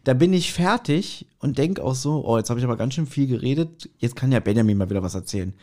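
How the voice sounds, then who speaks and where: A man's voice: medium pitch, lively intonation, fast talker, dark tone. A man chatting casually as a podcast host, telling rambling stories with mock grievance.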